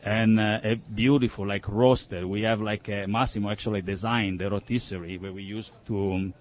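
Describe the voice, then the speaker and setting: A man from Milan with an Italian accent, a native Italian speaker answering interview questions haltingly as he searches for words. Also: on the low side, fairly even intonation. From Milan, northern Italy. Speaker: restaurateur, interview guest.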